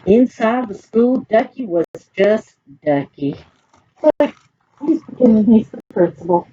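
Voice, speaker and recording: A woman with a Southern US accent and a husky voice reads aloud to young children as a librarian, expressive and folksy, speaking to them directly. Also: medium pitch, a little slow, dull audio, slight background noise. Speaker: children's librarian reading picture books aloud.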